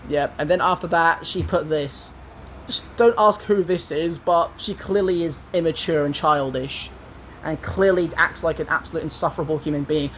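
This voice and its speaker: A young man in his twenties with an English accent talks with a high, squeaky voice. He sounds aggrieved and rambles as he retells an online argument. A faint hiss runs underneath. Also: moderate pace, a bit bright. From England. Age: 20s. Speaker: gaming vlogger. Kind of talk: casual commentary.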